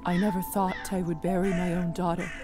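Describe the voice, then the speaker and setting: A woman playing a middle-aged, grief-stricken mother in a game drama, halting and solemn, with a voice close to breaking. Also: lowish voice, little pitch movement, over music, natural voice. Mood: sorrowful. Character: heartbroken mother burying her daughter.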